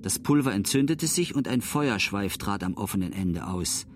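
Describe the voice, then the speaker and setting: A man's voice: on the low side, animated, normal speed, slight background noise. A man reading scripted documentary narration as a storyteller of history.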